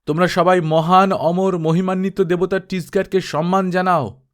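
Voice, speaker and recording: A man voicing a pompous, bloodthirsty old high priest who pleads in loud ceremonial tones. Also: flat delivery, normal speed, close to an everyday voice. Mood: proclaiming.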